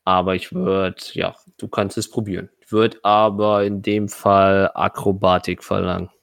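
Low-voiced male game master, dry sound. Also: level tone, measured pace, gravelly, fairly bright tone, clean tone, no echo. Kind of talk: running a tabletop role-playing game, improvised narration and rules talk.

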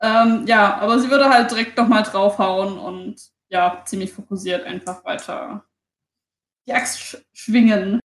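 A woman's voice: mid-range voice, steady tone, unhurried. A woman playing a tabletop role-playing game, chatting casually as she describes her character's moves and slipping into English phrases. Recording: echoey, roomy sound.

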